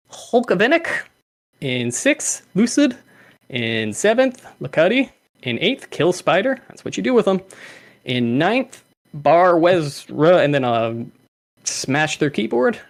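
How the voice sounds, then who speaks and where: A young man hosting a livestreamed community call, reading out names and laughing often. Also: a little high. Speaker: host.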